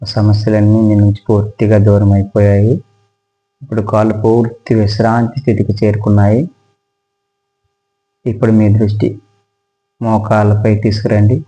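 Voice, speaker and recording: A man guides a relaxation meditation as a yoga instructor, speaking slowly and soothingly with pauses. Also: lowish voice, monotone, lo-fi sound.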